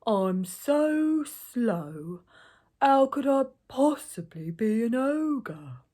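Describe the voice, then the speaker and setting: A woman voicing a huge storybook ogre who talks in a halting, drawn-out way, slyly pleading innocence. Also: fairly high pitch, big pitch swings, dark tone, heavily acted. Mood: pleading, feigned innocence.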